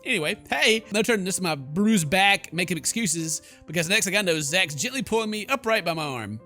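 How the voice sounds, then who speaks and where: A male let's player with a bright, forceful and fairly high voice. He mixes expressive reading of story text with jokey, bro-ish commentary, over faint music. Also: brisk.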